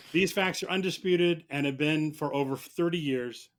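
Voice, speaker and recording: A man's voice: on the high side, takes it slowly. A man acting as a lawyer, delivering a scripted, mock-solemn closing argument in a declamatory way. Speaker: mock-trial lawyer on a podcast. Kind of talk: theatrical scripted courtroom closing speech.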